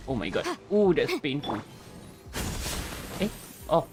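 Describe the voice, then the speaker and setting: A man's voice: a little high, big pitch swings, slow pace. A man giving casual live gameplay commentary, swearing and exclaiming as he reacts to the game. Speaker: VTuber game streamer. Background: game music and effects.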